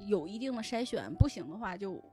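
A woman speaking as a podcast guest in casual chat, explaining things in an animated way with frequent check-in questions. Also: mid-range voice, brisk, faint music behind. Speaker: guest, novel recommender and editor.

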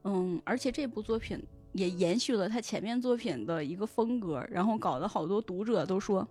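A woman's voice: medium pitch, normal speed, darkish tone. A woman guest in a casual podcast discussion, explaining things and often rephrasing her points, with music behind her. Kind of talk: casual discussion of novels and their adaptations. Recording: music under the voice. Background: background music.

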